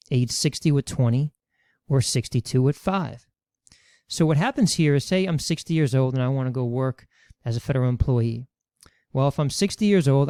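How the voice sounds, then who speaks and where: A man's voice: medium pitch, dark tone, smooth, no echo, clean studio sound. A man explaining retirement benefits rules as a webinar presenter, walking through them step by step with worked examples. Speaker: financial educator presenting a webinar.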